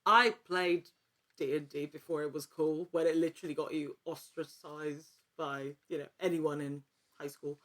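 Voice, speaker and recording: A woman in her thirties talking casually as an interview guest, with a halting, informal, self-aware manner. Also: low voice, steady tone, fairly bright tone. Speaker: podcast guest, actor and writer.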